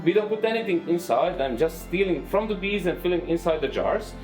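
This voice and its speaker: A man's voice: high-pitched, lively intonation, a little fast, a little mellow, soft background music, rough recording. A man giving a beekeeping tour to visitors, explaining honey making with playful jokes. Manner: playful, jokes with visitors, slightly non-native phrasing.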